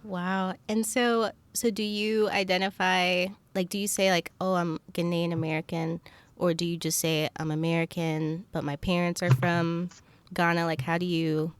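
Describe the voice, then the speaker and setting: Smooth, low-voiced young woman interviewing. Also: little pitch movement, normal speed, no echo. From Zambia, moved to the US at ten. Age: young adult. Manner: tentative, searching phrasing with many likes. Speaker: podcast host, interviewer. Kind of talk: casual conversational interview.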